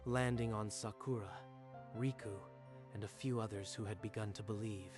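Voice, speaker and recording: A man's voice: fairly low pitch, steady tone, normal speed. A man giving scripted, dramatic narration for a manga dub. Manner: dramatic storytelling. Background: faint music.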